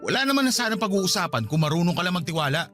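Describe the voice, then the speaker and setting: A man playing a resentful, fed-up husband who feels distrusted, with sharp retorts and a Filipino accent, in a radio drama. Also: sits high, sing-song, very fast, faint music behind. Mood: bitter, weary.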